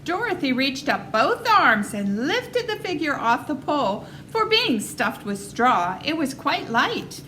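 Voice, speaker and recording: Female storybook reader: animated intonation, clear forward tone, some light background noise. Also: sits high, unhurried, hardly any pauses. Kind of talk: expressive children's story narration.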